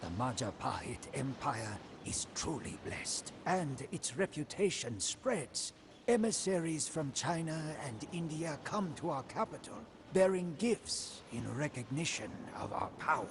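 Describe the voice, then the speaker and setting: A man's voice: fairly high pitch, sing-song, slight background noise. An actor playing an ambitious, scheming old prime minister, solemnly and theatrically narrating his life for a storybook game campaign. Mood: proud, scheming. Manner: solemn, theatrical, deliberate, with hushed line endings.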